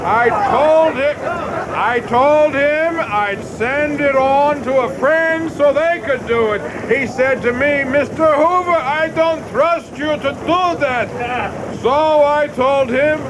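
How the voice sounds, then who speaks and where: Man acting very high, slow, wisecracking official. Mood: wry, confident. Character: confident, wisecracking government official addressing reporters. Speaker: actor. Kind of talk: radio drama acting. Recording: noisy outdoor crowd scene, wind, engine rumble.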